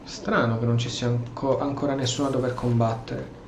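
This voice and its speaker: A man's voice: medium pitch, level tone, fast talker, creaky. A man with an Italian accent giving casual commentary as he plays a video game. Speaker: gaming streamer. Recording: echoey room, faint game noise.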